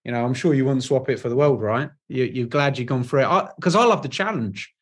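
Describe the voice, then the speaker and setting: A man's voice: mid-range voice, lively intonation, fairly quick, husky. A man hosting a business podcast, asking long, encouraging questions with small restarts. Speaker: podcast host. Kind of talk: interviewing.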